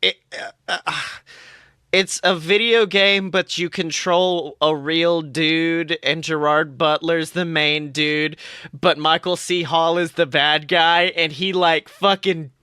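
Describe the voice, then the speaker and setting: A man co-hosting a podcast in casual pop-culture chat, animated, slangy and given to swearing. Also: a little high, slow, clear, resonant tone, dry recording, clean studio sound. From United States. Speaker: podcast co-host.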